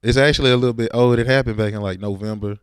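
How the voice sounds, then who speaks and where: A man's voice: medium pitch, steady tone, racing, clean tone, no echo. A laid-back man, talking casually and with slang as a rapper in an interview.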